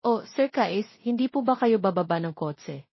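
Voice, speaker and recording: A woman's voice: mid-range voice, expressive, normal speed, vocal fry, breathy edge. A woman voicing an innocent young nanny, deferential and earnest, in a romance story. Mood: polite, concerned. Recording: muffled lo-fi, roomy echo.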